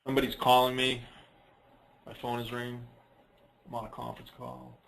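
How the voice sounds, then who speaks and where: A man giving a casual, unscripted software walkthrough on a conference call, rambling a little and thinking aloud as he presents. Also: medium pitch, completely flat delivery, a little fast, creaky.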